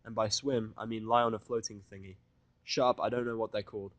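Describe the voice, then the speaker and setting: A man's voice: on the low side, steady tone, quick pace, no room sound. A man reading a scripted first-person fan fiction narration in a blunt, sardonic manner.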